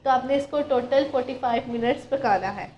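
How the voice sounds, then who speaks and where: A woman's voice: high voice, brisk, dull audio, noisy background. A woman from Pakistan, patiently explaining a recipe step by step as a cooking channel host. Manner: patient, instructive, addresses viewers directly.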